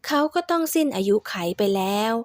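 A woman narrates a folk tale in a steady, measured voice set a little high. The recording is dry and close, with no room echo. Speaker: storyteller.

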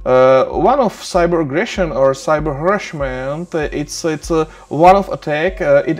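Czech-accented male lecturer, halting. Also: a little high, darkish tone. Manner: hesitant, many "uh" fillers and restarts, non-native phrasing. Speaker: university lecturer. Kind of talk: recorded online lecture over slides. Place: small room indoors.

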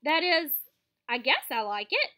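A woman's voice: sits high, big pitch swings, unhurried, pushed and bright, heavily acted. A woman voicing a lonely, bored young male pig with a plaintive tone. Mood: glum. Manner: whiny and plaintive.

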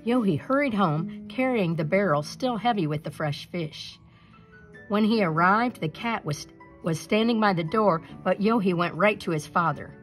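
A woman reading a children's picture book aloud as a storyteller, in an expressive narrating style. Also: medium pitch, moderate pace, soft background music.

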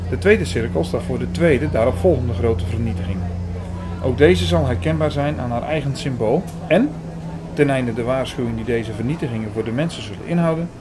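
A man playing an earnest first-person narrator in an audio drama, speaking in a measured, formal, bookish way. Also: medium pitch, noisy background, lightly acted. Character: Hugo, earnest male first-person narrator reading out prophecy.

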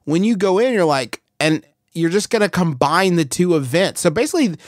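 A man's voice: high voice, animated, fairly quick, no room sound, studio quality. A man hosting a podcast, riffing emphatically in comedic, casual banter with mock exasperation. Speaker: podcast host.

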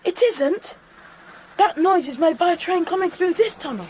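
A woman's voice: very high voice, animated, moderate pace, a little mellow. A woman playing a keen, sensible English schoolboy leader in a children's audio drama, with a British accent. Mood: excited, awed. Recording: faint noisy 1990s cassette audio drama.

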